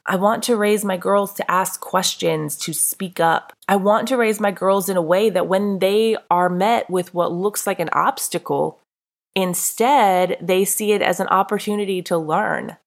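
A woman's voice: mid-range voice, measured pace. A congested woman hosting her own podcast, talking in a candid, earnest, conversational way with a throaty, stuffed-up voice. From North Carolina. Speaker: podcast host. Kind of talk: casual solo podcast talk.

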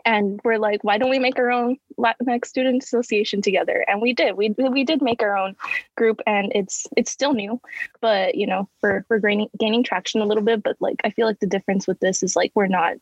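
A woman's voice: medium pitch, fairly even intonation, fairly quick, husky, dry recording, highs cut off. A young woman, a university student, speaking as a podcast guest in an earnest, self-deprecating way. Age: young adult. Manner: earnest, self-deprecating, many fillers and restarts. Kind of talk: casual interview conversation.

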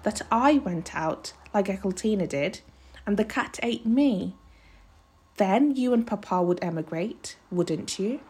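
A woman voicing a dreamy, timid young girl in a storybook, soft, tentative and pleading. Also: medium pitch, expressive, measured pace, husky. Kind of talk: storybook read-aloud character voice.

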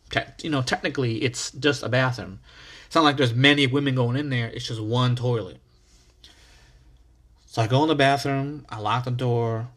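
A young American man hosting his own podcast, telling a story in a casual, animated way and swearing freely. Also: medium pitch. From United States. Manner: animated, profane, with sudden loud outbursts. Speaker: podcast host.

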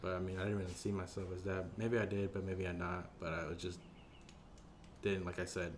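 Deep-voiced young guy, quiet and hesitant, lots of uhs, bit of background hiss. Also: level tone, fairly quick. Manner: soft-spoken, hesitant, many "uh" and restarts. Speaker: podcast guest. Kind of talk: casual podcast chat.

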